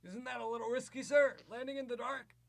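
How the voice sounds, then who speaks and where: A man playing a jittery young navy lieutenant on a spaceship in a student radio drama, giving brisk reports. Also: very high-pitched, steady tone, a bit bright, no echo, clean studio sound, exaggerated voice. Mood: dutiful. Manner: brisk crisp reports, edgy.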